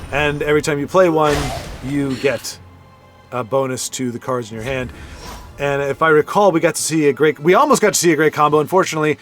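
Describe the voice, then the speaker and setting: Brief: male card-game caster, slightly high voice, rambling and self-correcting, casual play-by-play. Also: fairly bright tone. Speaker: card game commentator and show host.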